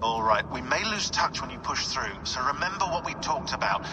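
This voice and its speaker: An actor playing a talkative, fussy tech helper guiding a field agent by radio in a game cutscene. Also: male voice, medium pitch, lively intonation, brisk, natural voice. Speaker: remote support over radio. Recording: radio link under very loud game music.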